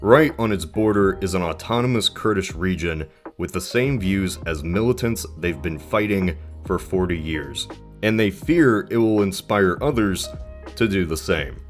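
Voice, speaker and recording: Low-pitched man narrating an explainer. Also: lively intonation, moderate pace, soft background music. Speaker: video essay narrator.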